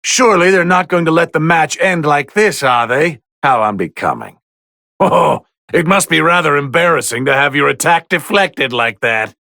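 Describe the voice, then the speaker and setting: Imperious, bored video game deity commentator: theatrical and easily irked, forceful gravelly tone, pitched a bit high, very dry recording. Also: male voice, expressive, normal speed, dry recording, full character voice. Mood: bored disdain. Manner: pompous, theatrical, drawling disdain. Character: haughty, bored god of destruction, male, easily irritated. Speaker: fighting game commentator character.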